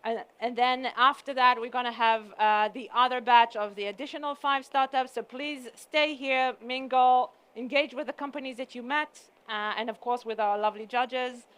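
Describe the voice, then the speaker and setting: A woman's voice: a little high, steady tone, normal speed, few pauses, a bit bright, smooth. A woman moderating a live event, friendly as she announces a break.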